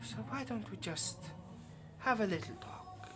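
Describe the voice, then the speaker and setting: A performer plays a confident vampire in an audio roleplay who toys with prey. The delivery is teasing and condescending, with a possessive, predatory edge. Music plays clearly behind the voice. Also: mid-range voice, expressive, a little slow, lightly acted. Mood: menacing, possessive. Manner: teasing, condescending, drops to whispers. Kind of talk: ASMR roleplay monologue.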